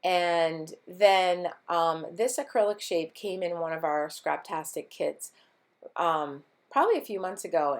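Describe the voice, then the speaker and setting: A woman with a voice she says is going hoarse, chatting casually through a scrapbooking tutorial with lots of ums. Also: deep voice. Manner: rambling, chatty, many ums. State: losing her voice. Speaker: scrapbooking vlogger. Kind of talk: casual craft tutorial chat.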